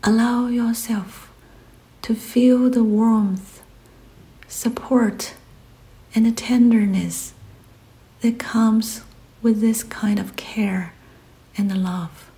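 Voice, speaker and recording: Slow, soft-spoken woman guiding meditation. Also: on the high side, fairly even intonation. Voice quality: soft, breathy, often near-whispered. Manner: gentle, soothing, pausing between short phrases. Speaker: meditation guide. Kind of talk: guided meditation, scripted.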